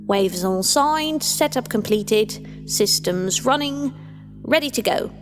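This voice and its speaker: A woman voicing a businesslike female scientist in an audio story, formal, measured and procedural, over music. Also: fairly high pitch, animated. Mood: formal, composed.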